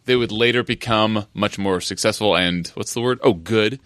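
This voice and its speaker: Man, podcast co-host, low and clear voice, dry close mic, rambling and teasing. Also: moderate pace, fairly bright tone, no room sound. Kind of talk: casual chat.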